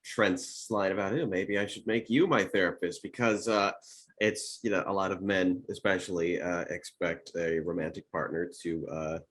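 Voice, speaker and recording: Deep-voiced, hesitant man. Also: fairly even intonation, a bit bright. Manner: hesitant, halting. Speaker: show panelist. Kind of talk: casual reflective chat. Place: remote video call.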